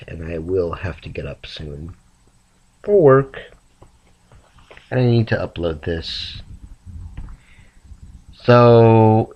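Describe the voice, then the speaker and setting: A man sick and rambling softly to his camera late at night in a raspy, half-whispered voice. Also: low-pitched, muffled recording. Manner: slow, meandering, soft-spoken. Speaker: vlogger.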